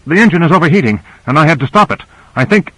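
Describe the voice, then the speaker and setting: Man acting anxious submarine pilot, pitched up. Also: very animated, normal speed, a bit bright, dry recording, poor audio. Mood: anxious, frustrated. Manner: urgent, anxious. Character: anxious male submarine pilot, agitated by trouble.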